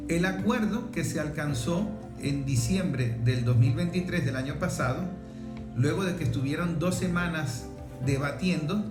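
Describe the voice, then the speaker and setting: A man with a Venezuelan accent hosting a political commentary, explaining things in a conversational, didactic way with emphatic pauses. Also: on the high side. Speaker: host. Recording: roomy echo with music behind. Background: background music.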